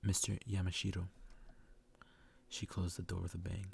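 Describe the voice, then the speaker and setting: A man doing a soft ASMR reading of a story, sometimes stumbling over harder words. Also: deep voice, completely flat delivery, moderate pace, gravelly, noisy background. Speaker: ASMR creator reading a visual novel aloud.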